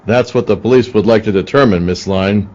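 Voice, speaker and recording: A man's voice: fairly low pitch, a little fast, a little mellow, dull audio, lightly acted. A man playing a businesslike police detective in a radio play, matter-of-fact and firm. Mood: stern, restrained. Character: level-headed, businesslike male police detective. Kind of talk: old-time radio play acting.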